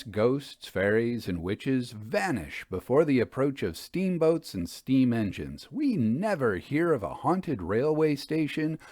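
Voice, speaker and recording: A man narrating in a literary storytelling style, with measured, deliberate phrasing and emphatic stresses. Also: mid-range voice, a little mellow, dry recording. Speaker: narrator reading ghost stories aloud.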